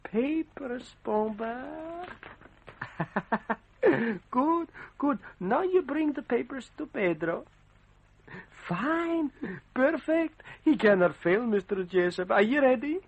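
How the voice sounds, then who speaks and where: Radio drama henchman: high-pitched, foreign, deferential, coaxing his trained beast in stilted phrases. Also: expressive, takes it slowly, lo-fi sound, a little hiss or hum, heavily acted. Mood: coaxing, eager. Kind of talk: old-time radio drama acting.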